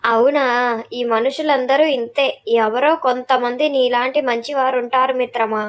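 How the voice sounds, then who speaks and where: A woman's voice: high voice, steady tone, moderate pace, lightly acted. A woman voicing a friendly, helpful demoness in a village moral tale. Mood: warm, reassuring.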